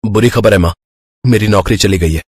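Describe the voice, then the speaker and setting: A downcast young husband, played by a male actor in a cartoon story. His lowish voice is husky and very quick, recorded dry and close. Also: darkish tone, no room sound. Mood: dejected. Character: downcast young husband and son, poor breadwinner.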